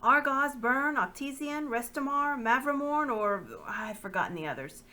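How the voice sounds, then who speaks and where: A woman's voice: sits high, normal speed, pushed and bright, pitched above the performer's own voice. A woman reading the part of a young boy king, speaking proudly and formally. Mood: regal.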